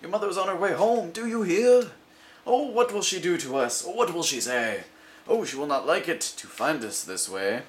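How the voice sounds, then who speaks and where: Male reader as anxious scolding fish, children's storybook. High-pitched, emphatic, fretful; slight background noise. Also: expressive, normal speed, close to an everyday voice. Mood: panicked. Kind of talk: children's storybook character reading.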